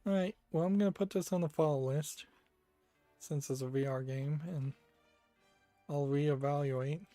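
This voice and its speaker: A man hosting a game news channel, giving casual commentary while browsing store reviews and musing aloud with frequent pauses and hesitations. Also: on the high side, fairly even intonation, dark tone, no room sound, faint music behind.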